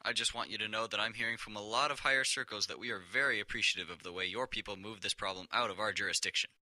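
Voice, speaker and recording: Male actor as callous office boss, very bright hard-edged voice, rapid delivery, curt, condescending, audio drama. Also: fairly low pitch, no room sound. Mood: smooth, officious.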